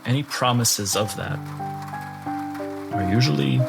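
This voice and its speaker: A man's voice: mid-range voice, creaky. A man voicing a weary, cautious older advisor who speaks hesitantly. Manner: hesitant, measured. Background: music.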